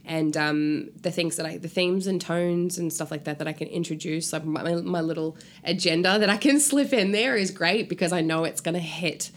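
A woman's voice: on the low side, normal speed, few pauses. A woman speaking casually and informally as a guest author in an interview. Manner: animated, informal, frequent "like" and swearing.

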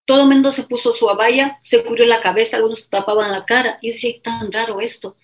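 A middle-aged Ecuadorian woman with a fairly high voice tells her story as an interview guest. She is animated and casual, acts out what others said to her, and leans on fillers. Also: fast talker. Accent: Ecuadorian Spanish. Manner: animated, quotes others in dialogue, many fillers.

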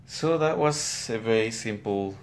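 An older man with a Guatemalan Spanish accent recounts his life as a weaver in an interview, speaking plainly and haltingly in his studio. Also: fairly low pitch, animated. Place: weaving studio, indoors.

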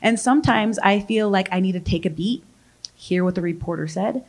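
A woman's voice: mid-range voice, moderate pace. A young adult woman, a professional fighter, answering interview questions in a candid, talkative way. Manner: candid and talkative, laughs easily.

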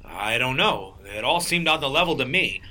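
A man's voice: medium pitch, lively intonation, moderate pace, pushed and bright. A man playing a bumbling, eager and defensive rabbit detective with a sheepish manner in a radio play.

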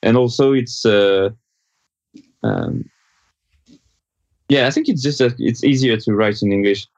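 A French-accented man pauses and gropes for the right words as he answers, his thoughts coming out in halting pieces. His voice sits at an ordinary middle pitch and arrives dull and lo-fi, as if over a video call. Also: big pitch swings, a little mellow, no room sound. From France. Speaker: guest musician. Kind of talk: remote interview answers.

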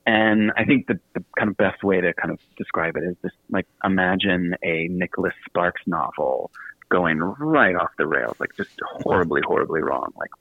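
A man's voice: low-pitched, normal speed, slightly rough, dry recording. Effusive man on a phone-like line. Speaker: author guest. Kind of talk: casual radio interview. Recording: tinny narrow phone-like line.

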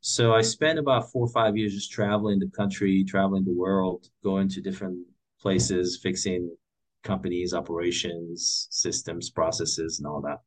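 A man's voice: deep voice, little pitch movement, normal speed, low-quality recording. A man in his forties from Morocco, telling his career story as an interview guest with many fillers and restarts. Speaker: guest entrepreneur.

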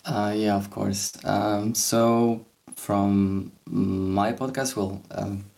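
Slow, deep-voiced young man. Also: steady tone, gravelly, a little mellow. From Poland. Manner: hesitant, many fillers and restarts. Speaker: podcast host. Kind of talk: casual podcast discussion.

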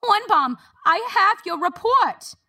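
A woman's voice: sing-song, slow, full character voice. A woman voicing a desperate, defiant young heroine who speaks urgently in a raised voice. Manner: high, urgent, shouting.

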